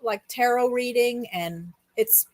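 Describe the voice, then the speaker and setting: A woman's voice: on the high side, slow pace, darkish tone. A woman hosting a casual, conversational interview, asking questions and chatting. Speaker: interviewer, show host.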